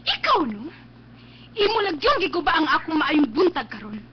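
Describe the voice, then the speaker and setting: An actress playing a defiant, hot-tempered young daughter in a Philippine radio drama, snappish and scornful, with a Cebuano accent. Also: female voice, high voice, sing-song, moderate pace. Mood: angry, defiant. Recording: dull lo-fi webcast with noise.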